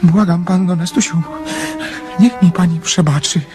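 Man playing shy lovesick young dreamer, higher-pitched male voice, stammering, anguished, radio drama, music underneath. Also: steady tone, close to an everyday voice. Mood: pleading, anguished. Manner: halting, stammering, earnest. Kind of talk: Polish radio play acting.

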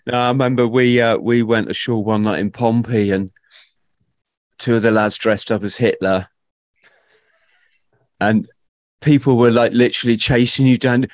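A middle-aged man hosting a podcast, swapping stories in relaxed, jokey banter and laughing often, recorded on a studio mic at home. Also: medium pitch, moderate pace, pauses often, no room sound. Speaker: podcast host. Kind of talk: casual interview chat. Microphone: studio mic with headphones.

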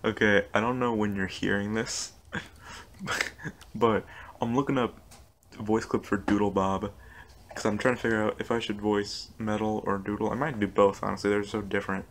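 Low, monotone young man vlogging. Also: normal speed, roomy sound, a little hiss or hum. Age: young adult. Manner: casual, self-critical, swears often. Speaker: rap battle creator. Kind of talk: casual behind-the-scenes vlog. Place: small bedroom. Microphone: studio condenser mic.

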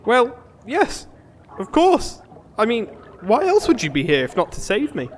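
A man's voice: very high-pitched, wildly swooping pitch, a little slow, long reverb tail, slight background noise, exaggerated voice. A man playing a whiny, piercing-voiced, self-important pampered boss in a comedic fantasy audio drama.

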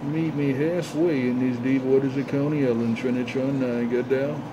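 A man's voice: medium pitch, steady tone, moderate pace. A man talking on CB radio, repeating catchphrases in a showy chant. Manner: repetitive, showy chanting of phrases. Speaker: CB radio operator. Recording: dull lo-fi, loud radio static noise.

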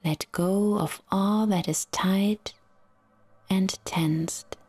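A woman guiding a relaxation meditation in a soft, soothing, half-whispered voice with long pauses. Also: medium pitch, fairly even intonation, breathy edge. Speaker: yoga nidra guide.

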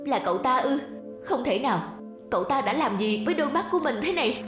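Loud music runs behind a woman acting as a distressed young man in a cartoon fairy tale. The voice is fairly high and speaks in dramatic self-talk. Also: expressive, fast talker. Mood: frightened, despairing. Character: distressed young man, blinded and abandoned in forest. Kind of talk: animated fairy-tale dubbing.

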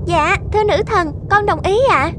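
A woman voicing a sweet, innocent young maiden in a cartoon fairy tale, polite and gentle in manner. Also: very high voice, normal speed, noisy background. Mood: eager. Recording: loud music under the voice.

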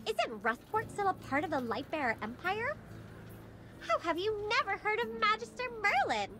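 High feisty young adventurer. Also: female voice, very animated, normal speed, very noisy. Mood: indignant. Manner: feisty, indignant. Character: spirited young woman adventurer, bold and proud. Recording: game voice with music behind.

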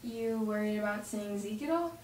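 A woman's voice: medium pitch, animated, moderate pace, a little mellow, lightly acted. A young woman playing a teasing, supportive teenage girl at a drama table read. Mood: gentle. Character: Zoe, teasing, supportive teenage best friend. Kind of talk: table-read acting, naturalistic dialogue. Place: home dining room, around a table. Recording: roomy, distant mic, faint noise.

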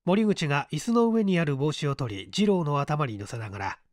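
Expressive male storyteller with a lively range. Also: on the high side, fairly quick, no echo, studio quality. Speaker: audiobook narrator. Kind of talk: scripted narration of mystery fiction.

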